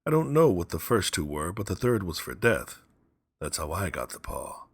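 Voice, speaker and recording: A man voicing a burly middle-aged sergeant major, a gruff, world-weary and emphatic storybook soldier. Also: sits low, very animated, normal speed, no echo, studio quality, full character voice. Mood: grim.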